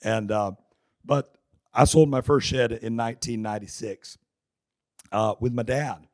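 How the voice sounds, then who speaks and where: Hoarse, raspy man. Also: medium pitch, measured pace, a little mellow. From North Carolina. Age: middle-aged. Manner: folksy, joking, chatty. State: hoarse with laryngitis. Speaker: seminar speaker. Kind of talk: conference seminar talk. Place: conference hall. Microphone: handheld mic.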